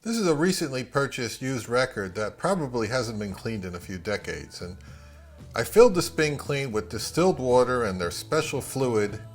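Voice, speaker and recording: Measured man reviewing, faint music behind. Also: medium pitch, sing-song. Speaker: product review host. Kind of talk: casual review narration.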